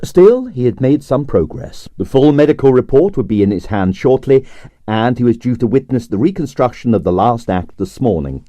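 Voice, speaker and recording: A man's voice: medium pitch, very animated, moderate pace, dark tone, dry recording. A man reading a comic mystery novel aloud in scripted narration, with a dry, wry storytelling manner. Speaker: audiobook reader.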